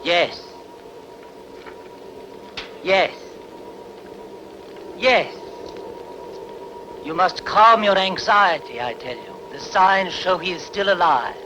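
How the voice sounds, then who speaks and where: A man's voice: high voice, extremely slow, pauses often, pushed and bright, heavily acted. A man playing an earnest, solemn soothsayer who speaks insistently in a film dub. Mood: solemn prophecy. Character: earnest male soothsayer pleading before a queen. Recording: clearly noisy background.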